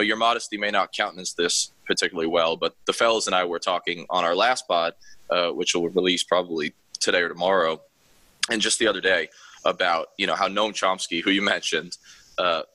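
A man's voice: sits low, pushed and bright, no room sound, rough recording. A man hosting a podcast, wordy and effusive, rambling with many fillers. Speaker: podcast host. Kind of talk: casual podcast interview wrap-up.

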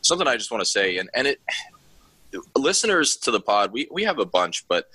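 A man's voice: mid-range voice, big pitch swings, brisk, pushed and bright, husky, no echo, rough recording. A man hosting a podcast, wordy and effusive, rambling with many fillers. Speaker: podcast host. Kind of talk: casual podcast interview wrap-up.

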